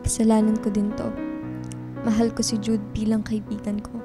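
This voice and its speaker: A woman's voice: medium pitch, monotone, moderate pace, lightly acted. A woman acting a guilt-ridden young woman in love, earnest and emotional, in a Filipino radio drama. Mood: guilty, pleading. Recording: music under the voice. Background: background music.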